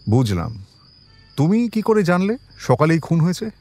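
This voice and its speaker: An actor in an audio drama playing a composed police detective who questions people politely but with quiet suspicion. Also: male voice, on the high side, very animated, normal speed. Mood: probing, composed.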